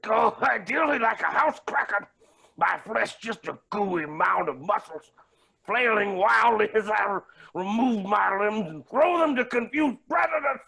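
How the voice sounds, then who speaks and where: A man's voice: sits high, expressive, unhurried, vocal fry, sharp tone, husky, heavily acted, poor audio. A man acting a gleefully cruel billionaire villain in a staged play reading, with pompous, melodramatic, swooping delivery. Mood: manic glee.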